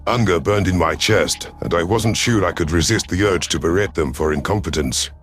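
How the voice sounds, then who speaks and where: Deep-voiced male sci-fi story narrator. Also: moderate pace. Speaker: narrator of science fiction audio stories. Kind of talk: dramatic first-person scripted narration. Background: background music.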